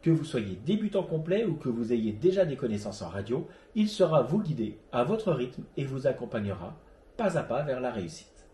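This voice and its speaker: Man presenting in an echoey room, animated pitch. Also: moderate pace. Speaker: host of an amateur radio web show. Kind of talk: scripted presentation, read narration.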